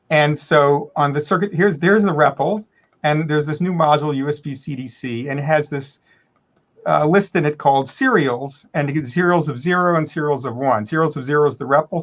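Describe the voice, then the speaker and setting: A man with a somewhat high-pitched voice explains technical work. He is casual and methodical, going step by step and restating himself as he goes. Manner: step-by-step, self-correcting. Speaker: software developer demonstrating work.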